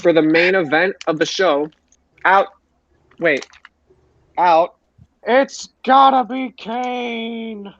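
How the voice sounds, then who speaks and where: A man with a slightly high voice leads a casual podcast recap, moving through events in a plain storytelling way. The audio is a little dull and lo-fi. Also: steady tone, takes it slowly, pauses often, fairly bright tone. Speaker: podcast host leading the roundup.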